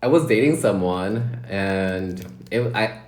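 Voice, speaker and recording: A man's voice: fairly low pitch, lively intonation, slow, darkish tone. A man co-hosting a casual podcast, telling candid personal stories and laughing often. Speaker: podcast co-host. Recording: echoey room.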